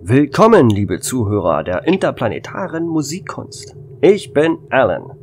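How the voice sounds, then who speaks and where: A man playing a wry, easygoing space station worker in a German radio play, with a casual, teasing manner. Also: medium pitch. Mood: mock-grand. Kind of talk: amateur radio drama acting. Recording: faint noise and hum.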